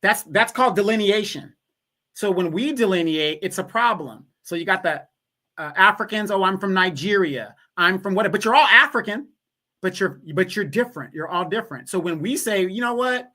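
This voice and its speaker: A man's voice: sits high, moderate pace, sharp tone. A man co-hosting a casual livestream, making emphatic points and repeating them to drive them home. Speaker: co-host.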